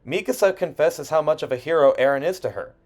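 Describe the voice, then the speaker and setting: A man's voice: fairly high pitch, fast talker. A man reading a scripted video essay in an earnest, personal way with emphatic phrasing. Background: background music.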